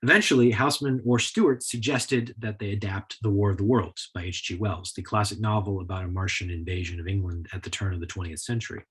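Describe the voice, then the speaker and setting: A man giving a prepared history lecture in a measured, explanatory style. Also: fairly low pitch, fairly even intonation, fairly bright tone, husky. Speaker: lecturer, author.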